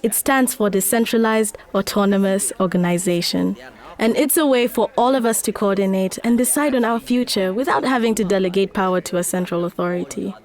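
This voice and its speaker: A woman's voice: mid-range voice, fairly quick, darkish tone, roomy sound, recorded from a distance, natural voice. A woman playing an earnest, idealistic young Kenyan activist in a dramatic motion comic, explaining and persuading. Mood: upbeat.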